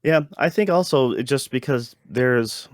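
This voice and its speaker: A man's voice: mid-range voice, a little slow, no room sound, clean studio sound. A man co-hosting a casual review chat, talking in a hesitant, rambling way and often interrupting himself. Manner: hesitant, rambling delivery with many self-interruptions. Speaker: podcast co-host reviewing a show.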